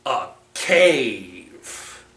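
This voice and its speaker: Very slow, animated storyteller in an echoey room. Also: mid-range voice. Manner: slow, deliberate, expressive read-aloud delivery. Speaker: children's library storytime presenter. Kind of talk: animated storytelling with felt board. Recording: roomy, echoing, distant microphone.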